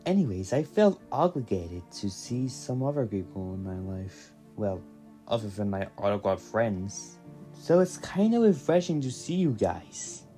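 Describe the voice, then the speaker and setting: Guy acting in an amateur audio play, slow casual delivery, bit of music under it. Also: fairly low pitch, animated, warm tone. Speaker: performer of an audio drama.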